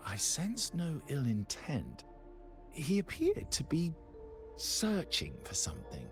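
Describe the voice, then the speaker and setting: An actor playing a devout, courteous young knight in a video game, earnest, dutiful and formal in speech. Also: male voice, a little high, wildly swooping pitch, slow, exaggerated voice. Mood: solemn. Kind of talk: dramatic video game voice acting. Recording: faint music behind the voice.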